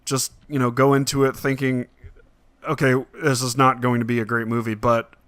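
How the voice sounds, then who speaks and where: A man chatting casually as a podcast host about entertainment, talking in a halting way with repeated phrases. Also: mid-range voice, little pitch movement, fairly bright tone, clean tone, no room sound. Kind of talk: casual movie discussion podcast.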